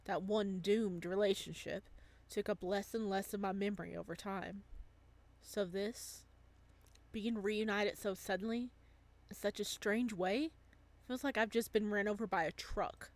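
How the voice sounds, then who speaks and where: Woman reading as a soft, wistful man in love. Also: mid-range voice, steady tone, measured pace, close to an everyday voice. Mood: wistful, reflective. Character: wistful adult man reunited with lost boyfriend, gentle narrator. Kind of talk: visual novel story reading.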